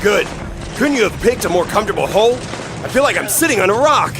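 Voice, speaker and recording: Man playing a high-voiced, grumbling army corporal. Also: expressive, quick pace, gravelly, background noise. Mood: wry, weary. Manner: wry complaining. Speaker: actor in amateur audio drama. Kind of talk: war audio drama acting.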